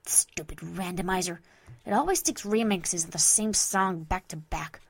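A woman voicing a brash, playful young mare DJ from a cartoon, in a loud, teasing and exuberant manner. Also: medium pitch, lively intonation, moderate pace, no room sound. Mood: grumbling. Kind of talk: fan fiction character voice.

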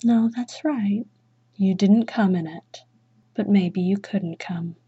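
A woman performing a dominant mistress roleplay in slow, teasing whispers, with a breathy voice and a commanding manner. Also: mid-range voice, mellow, muffled recording. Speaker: erotic audio roleplay performer.

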